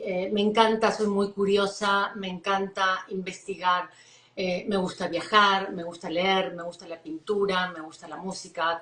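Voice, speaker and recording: A woman from Buenos Aires with an Argentine accent, a psychologist explaining ideas enthusiastically in an interview, pausing with fillers. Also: mid-range voice, roomy sound. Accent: Argentine Spanish, Buenos Aires. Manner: warm, enthusiastic, thoughtful with many fillers. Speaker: psychologist guest. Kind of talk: live-stream interview.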